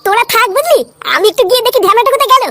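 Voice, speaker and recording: A performer voicing a scrappy, foul-mouthed village cartoon character with a squeaky, childlike voice and a cheeky, scolding manner. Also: very fast, sharp tone, dry recording. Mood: angry, grumbling. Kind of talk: cartoon comedy dubbing. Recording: music behind the voice.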